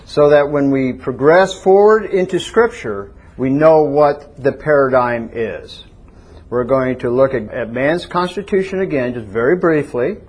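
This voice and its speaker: A man's voice: mid-range voice, slightly rough. A man preaching a teaching sermon in a church, asking the congregation questions and having them repeat words. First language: not Hebrew. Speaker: pastor.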